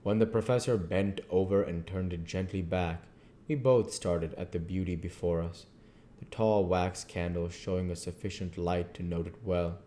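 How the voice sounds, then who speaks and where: A man reading a novel aloud at a measured pace, with occasional slips and restarts. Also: deep voice, fairly even intonation, dark tone, slight background noise. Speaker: audiobook reader.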